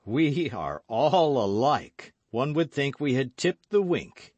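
A man voicing a refined, wry gentleman musketeer with a polite manner in a storybook reading. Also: mid-range voice, very animated, slow, dry recording, pitched above the performer's own voice.

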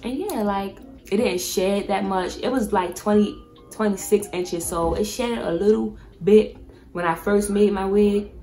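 A young woman with a mid-pitched voice chats like a beauty vlogger reviewing products. Her delivery is casual and candid, full of slang and swearing. Faint music plays behind her. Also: unhurried, mellow.

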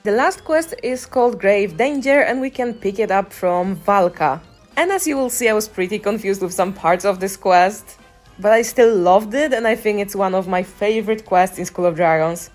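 Female gaming creator, medium pitch, conversational voice-over commentary, light music bed. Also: normal speed. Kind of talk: casual gameplay commentary voice-over. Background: music behind the voice.

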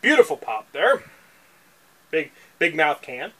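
Lively jokey man at a home bar. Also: a little high, slow, noisy background. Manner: jokey, mock-reading foreign text with exaggerated voices. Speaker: beer review show co-host. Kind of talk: casual chat. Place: basement bar, indoors.

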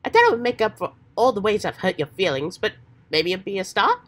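A woman voicing an earnest, excitable, old-fashioned adventurous teenage boy with hammy, folksy slang, while getting over a cold. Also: mid-range voice, fairly quick. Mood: polite, hesitant. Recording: faint background noise.

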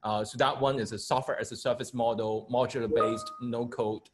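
A man's voice: mid-range voice, fairly even intonation, brisk, noisy background. A middle-aged man from Hong Kong, an insurance company founder, introducing his firm hesitantly with many fillers over a video call. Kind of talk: panel self-introduction.

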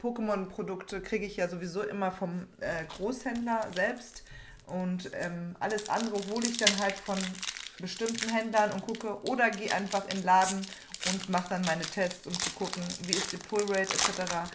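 A young woman talks in a rambling, informal way as she opens card packs. She often repeats herself. The room is echoey, with clear noise behind her. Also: medium pitch, fairly even intonation, normal speed. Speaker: trading card opening host. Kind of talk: casual chat while opening card packs. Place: small room at home, indoors. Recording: roomy echo, background noise, card crinkling.

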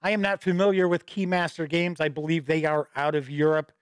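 A man's voice: a little high, level tone, brisk, clear, resonant tone, dry recording. A middle-aged man with a slight cold and a stuffy voice, hosting a casual live-stream news show from a home studio, chatty and upbeat with asides. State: a little stuffy with a starting cold. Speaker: host and editor-in-chief of a tabletop gaming news show. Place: home studio with shelves of games behind. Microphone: studio mic on boom arm close to mouth.

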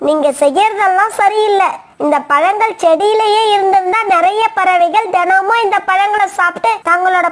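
An earnest young female parrot with an extremely high, small voice. She speaks her mind plainly, in the style of a cartoon story. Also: a bit bright. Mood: scolding.

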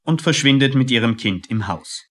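A man's voice: mid-range voice, animated, moderate pace, a bit bright. Male narrator for a radio drama, mid-range voice, scripted storytelling delivery.

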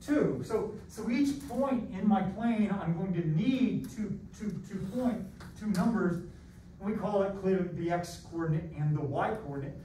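A middle-aged American man lecturing as a college math teacher in an animated, rambling, self-deprecating and theatrical way. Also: high-pitched, normal speed. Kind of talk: classroom lecture with rambling asides. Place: classroom, masked, in front of students. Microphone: far camera at back of room. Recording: roomy echoey classroom, distant mic, background noise.

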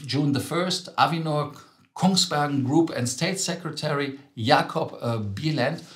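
A man's voice: on the high side, measured pace. A man in his thirties, not a native English speaker, hosting a travel news show in casual commentary with self-corrections and chatty asides, seated in a studio. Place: studio with grey wall, indoors. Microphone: fixed camera, seated.

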